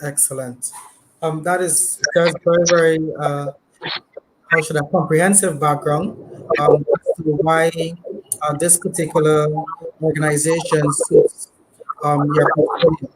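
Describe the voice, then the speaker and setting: A man's voice: fairly high pitch, little pitch movement, measured pace. A man hosting a live interview, polite and hesitant, with many fillers. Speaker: interview host. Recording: noisy live stream.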